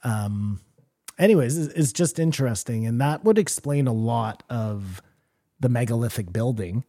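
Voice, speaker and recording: Man, podcast co-host, mellow dark tone, rambling, speculative, swears. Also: mid-range voice, animated, normal speed. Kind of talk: casual chat.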